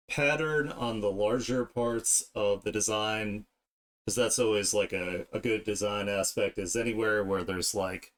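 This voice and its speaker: A man's voice: fairly low pitch, a bit bright. A man chatting casually on a livestream as he works, thinking aloud and often trailing off mid-thought. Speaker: streamer, digital artist. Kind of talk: casual livestream chat while drawing.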